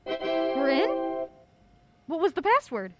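A woman playing an anxious, urgent heroine in a mystery audio drama, excitable and insistent. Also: high-pitched, slow pace, loud music behind the voice, full character voice. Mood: focused, uneasy. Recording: clearly noisy audio drama track.

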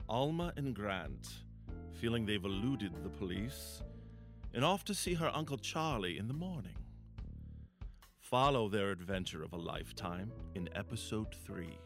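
A man's voice: on the low side, expressive, unhurried. A man narrating as the announcer of a radio drama in a scripted style. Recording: music under the voice.

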